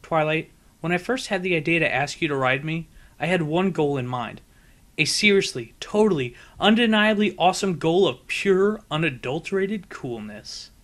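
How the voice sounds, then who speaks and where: A man's voice: a little high, animated, moderate pace, fairly bright tone. A man voicing a brash, boastful young female pegasus pony in a storybook reading, cocky and emphatic. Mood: hyped, dramatic. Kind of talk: fan fiction audiobook character voice.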